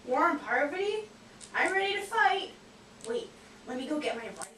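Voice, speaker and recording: A woman voicing a cheeky, naive, excitable puppet sidekick in comic scripted banter. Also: high-pitched, moderate pace, exaggerated voice. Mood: playful, joking. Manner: playful, exclamatory, wisecracking. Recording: echoey, distant, noisy room.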